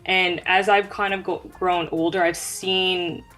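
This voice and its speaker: A young woman speaks as an athlete being interviewed. Her voice sits fairly low and her phrasing is earnest and informal. Faint music plays behind her. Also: level tone, a little slow, fairly bright tone, rough recording. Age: young adult. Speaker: guest, track sprinter. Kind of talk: conversational interview answers.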